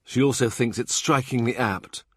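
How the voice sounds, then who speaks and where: A man narrating a historical novel as an audiobook in a measured, even-toned reading. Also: mid-range voice.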